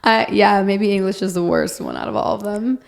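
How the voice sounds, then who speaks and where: A woman's voice: medium pitch, huge pitch swings, a little fast, creaky. A young woman whose first language is Portuguese, co-hosting a podcast in casual, playful, informal chat. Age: young adult. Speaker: podcast co-host.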